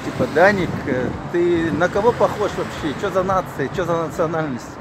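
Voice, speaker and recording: A man's voice: on the high side, brisk, background noise. Upbeat young man. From Kazakhstan. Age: young adult. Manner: upbeat, laughs often. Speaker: vlogger host. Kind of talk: casual street interviews. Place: city street outdoors. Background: music.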